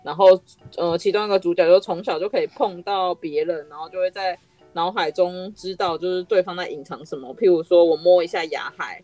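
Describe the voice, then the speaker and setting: A woman's voice: fairly low pitch, fairly even intonation, brisk, warm tone, dry recording, soft background music. A woman with a Taiwanese Mandarin accent, hosting a casual podcast chat. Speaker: podcast host.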